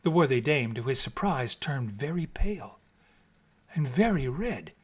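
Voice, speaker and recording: A man reading a classic story aloud in a theatrical, expressive and deliberate literary style. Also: mid-range voice, slightly rough. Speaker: storybook reader.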